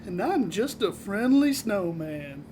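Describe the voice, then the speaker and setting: A woman's voice: medium pitch, very animated, takes it slowly, noisy background. A woman playing a gleefully evil snowman in an audio drama, gloating with theatrical mock sweetness. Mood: smug mockery.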